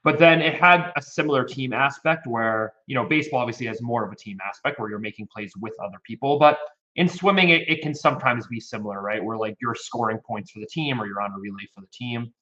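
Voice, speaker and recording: A man's voice: medium pitch, fast, bright, forceful tone. A man in his thirties from Connecticut, a youth baseball program director, chatting casually and rambling in an interview. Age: 30s. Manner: rambling, with frequent restarts and fillers. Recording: dull lo-fi audio.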